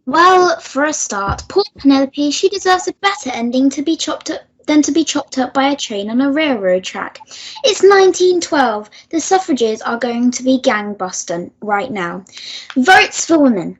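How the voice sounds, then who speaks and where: A woman's voice: high voice, animated, normal speed, muffled recording. A woman playing an outspoken, indignant audience member phoning in to a radio play. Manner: assertive, complaining. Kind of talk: scripted radio play dialogue.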